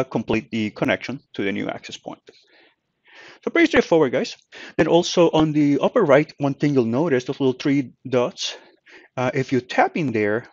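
A man narrating an informal software tutorial in a conversational, explanatory way. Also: mid-range voice, dry recording, muffled recording. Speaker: tutorial presenter, company manager.

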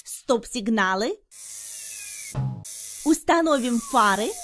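A woman's voice: fairly high pitch, expressive, takes it slowly, a bit bright, faint music behind. A woman reading scripted narration for a children's educational cartoon in simple, instructional phrases.

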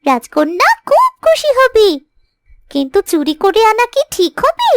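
A performer voicing a small, loyal talking animal who coaxes a princess earnestly in an animated fairy tale. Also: extremely high pitch, measured pace, noisy background, full character voice. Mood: eager, scheming.

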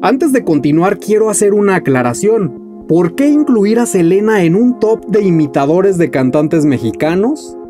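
A man in his thirties hosting a music video, reading a scripted countdown narration with lively delivery. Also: high-pitched. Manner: lively, announcing countdown entries. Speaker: music journalist and channel host. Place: small room at home, indoors.

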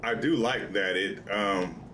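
A man's voice: lowish voice, takes it slowly, bright, forceful tone, slight background noise. A middle-aged American man giving casual, exasperated live gameplay commentary, poking fun at himself as he talks to viewers. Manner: exasperated, self-deprecating, talking to himself and viewers. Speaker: video game streamer. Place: home room, seated indoors.

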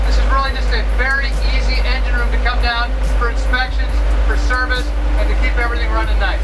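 A man's voice: very high-pitched, distant mic. A middle-aged man giving an enthusiastic scripted tour of a yacht, describing each space as he walks through it. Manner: enthusiastic, descriptive, louder out on deck. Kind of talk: scripted walkthrough presentation. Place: aboard a yacht, cabins and open deck. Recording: roomy echo, voice a bit off-mic, music underneath.

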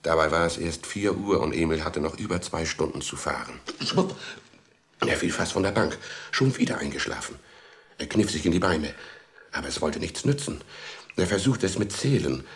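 A man with a very deep voice and a gravelly, creaking edge narrates a children's story. His delivery is expressive and suspenseful, with dramatic pauses, in the manner of a 1960s radio play on record.

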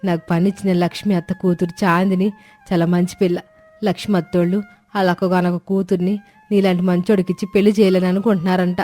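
A woman's voice: on the low side, little pitch movement, fast talker, mellow, soft background music, natural voice. A woman voicing an affectionate, persuasive mother of grown sons in a family story. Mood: coaxing.

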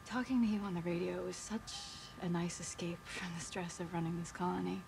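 An actress playing a gentle, apologetic young woman who leads a colony, in a naturalistic film style. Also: lowish voice, steady tone, a little fast, vocal fry. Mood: gentle, regretful. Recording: film audio with noise.

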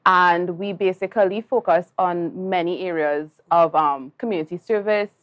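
Low-voiced Trinidadian woman, composed guest. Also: highs cut off. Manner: composed, explanatory, measured. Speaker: engineer, guest. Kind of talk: TV studio interview. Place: TV studio.

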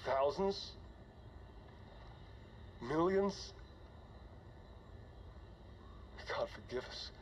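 Weary starship commander, muffled noisy log. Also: male voice, on the high side, lively intonation. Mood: grim, horrified. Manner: conversational, halting, sighing. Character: weary older male starship commander, jittery, remorseful. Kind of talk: dramatic audio log acting. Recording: dull, noisy cockpit log recording.

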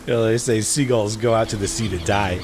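Droll lowish-voiced man bantering over gameplay. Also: fairly even intonation, a little fast, over music. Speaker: gaming show commentator. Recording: game ambience noise behind voice.

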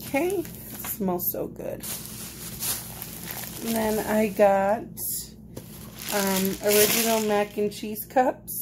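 A woman's voice: medium pitch, takes it slowly, long pauses. A young adult woman chatting casually as a vlogger, recorded on a phone in a home kitchen. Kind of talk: casual grocery haul vlog chat. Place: small indoor home kitchen. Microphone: phone close at arm's length. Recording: noticeable noise on phone recording.

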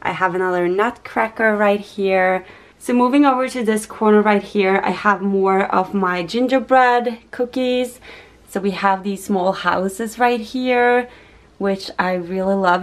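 Young woman vlogger, native Norwegian, casual kitchen tour, relaxed and conversational. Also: medium pitch, unhurried. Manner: relaxed, chatty show-and-tell. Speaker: lifestyle vlogger. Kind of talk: casual home tour narration. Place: home kitchen.

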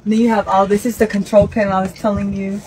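A woman in her thirties filming a family vlog, excitedly touring RVs and exclaiming over every feature. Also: mid-range voice, quick pace, a loud crowd. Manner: gushing, exclaiming, giggly. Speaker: family vlogger. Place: RV dealership lot and trailer interiors. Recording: noisy handheld phone audio.

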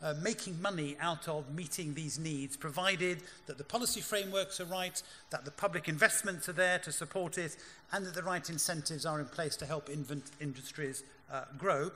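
A man moderating a conference panel from a lectern, brisk and directive with a dry wry touch. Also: a little high, sharp tone. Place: large conference hall stage. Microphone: lectern microphone. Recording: hall reverb, faint room hum.

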